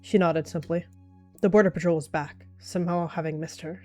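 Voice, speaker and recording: A woman's voice: lowish voice, fairly even intonation, fairly quick, a little mellow. A woman narrating an animal fantasy roleplay in the third person, as a storyteller. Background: faint music.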